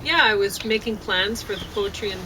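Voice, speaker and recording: A woman's voice: mid-range voice, fairly quick, bright, forceful tone, a little hiss or hum, poor audio. A tired woman chatting casually in a rambling way, then reading her own poem aloud outdoors. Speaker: poet and blogger. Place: outdoor deck.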